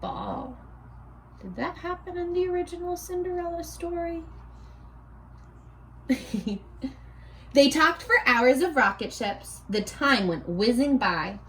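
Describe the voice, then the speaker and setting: Slow, high-pitched woman reading aloud to children. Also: slight background noise, low-quality recording. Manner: expressive, sing-song teacher talk with prompting questions. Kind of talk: storybook read-aloud with questions to children.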